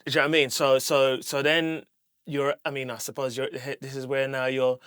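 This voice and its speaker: A man's voice: medium pitch, flat delivery, fairly quick, fairly bright tone, clean tone, no echo, studio quality. A man with a London accent, hosting as a DJ in a casual interview, encouraging and chatty. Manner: encouraging, many affirming murmurs. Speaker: DJ and podcast host.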